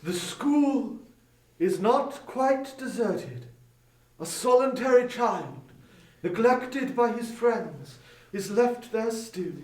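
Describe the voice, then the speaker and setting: An unearthly, solemn spirit guide acted by a man for a dramatic audiobook. The pitch is strikingly high and the delivery slow and measured. The voice sits back from the microphone in an echoing space. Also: lively intonation, a little mellow, heavily acted. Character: gentle, solemn Ghost of Christmas Past, unearthly spirit guide. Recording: roomy echo, distant from microphone.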